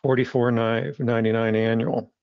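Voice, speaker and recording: A man's voice: mid-range voice, little pitch movement, creaky, clean tone, no echo. A man who publishes a magazine, chatting in an interview in a middling voice. He trips over his words and restarts often, backing up to rephrase. The recording is dry and a little dull, with no room sound. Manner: halting, with frequent restarts. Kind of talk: casual interview conversation.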